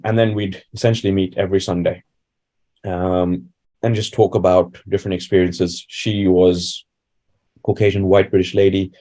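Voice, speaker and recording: Deep voice, gravelly man from India, mellow tone, reflective interview storytelling. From Bangalore, India. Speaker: doctor, interview guest. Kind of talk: conversational interview answers.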